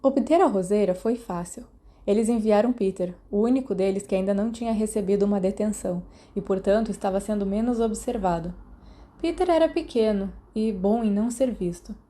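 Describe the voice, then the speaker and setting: A woman's voice: mid-range voice, brisk, dark tone. A woman with a Brazilian accent reading a story aloud as a podfic narrator. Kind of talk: scripted audiobook-style narration.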